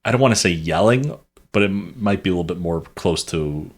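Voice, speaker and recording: Low-voiced man rambling through a history topic. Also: expressive, brisk. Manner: rambling, many fillers and restarts, self-correcting. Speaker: podcast co-host presenting the topic. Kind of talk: casual history podcast chat.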